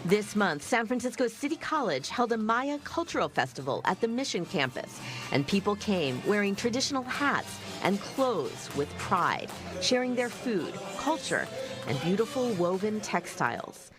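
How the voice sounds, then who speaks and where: Woman narrating a news report, lively pitch. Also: normal speed, music in the background.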